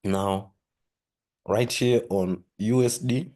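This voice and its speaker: Hoarse deep-voiced man explaining trading charts. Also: slow pace, a little hiss or hum. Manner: steady explanatory, repeats "with that being said"; frequent throat-clearing. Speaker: forex trading channel host. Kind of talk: screen-share trading lesson.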